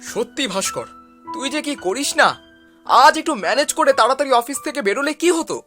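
A man's voice: very high voice, expressive, fairly quick, sharp tone, soft background music, exaggerated voice. A man playing an eager, chummy young man hosting old friends in a cartoon story. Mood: nagging. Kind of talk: cartoon voice acting.

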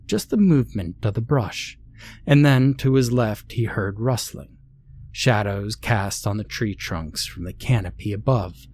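Man, horror narrator, lowish pitch, scripted storytelling, dramatic delivery. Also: a little mellow.

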